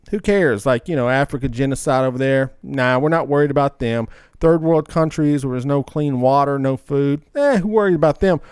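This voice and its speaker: A man's voice: on the high side, darkish tone, clean tone, no echo, clean studio sound. A man co-hosting a casual podcast, emphatic and slangy, often repeating himself to press a point. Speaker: podcast co-host. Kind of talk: casual opinionated podcast chat.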